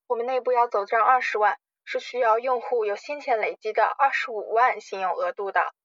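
A woman playing a smooth-talking, scheming loan company manager with polite, formal sales patter in an amateur radio drama. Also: fairly high pitch, brisk, a bit bright, no room sound, muffled recording, close to an everyday voice. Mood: persuasive, reassuring.

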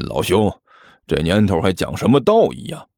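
A man voicing a sly, shameless monk con artist in an audiobook, slangy and smirking, quick to sputter when cornered. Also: low-pitched, wildly swooping pitch, gravelly, darkish tone, no room sound, studio quality, pitched below the performer's own voice, heavily acted.

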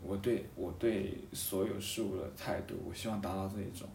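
Low-voiced man in an echoey room. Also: fairly even intonation, vocal fry, a little mellow, recorded from a distance. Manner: halting, thinking aloud, repeats phrases. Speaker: podcast guest talking about a hobby of seal carving. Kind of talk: casual conversation, reflective. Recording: roomy echo, off-mic, faint background noise.

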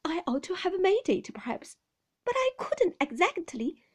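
Woman as a flustered, breathless, high-voiced kindly nursemaid. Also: big pitch swings, a little slow, heavily acted. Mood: apologetic, hesitant. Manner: breathless, hesitant, soothing. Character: kindly, flustered, fond nursemaid breaking awkward news.

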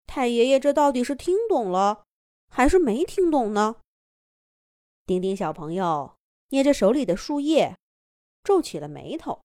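A woman narrating a children's story in a gentle, expressive storybook manner. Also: a little high, a little slow, pauses often, no echo, clean studio sound.

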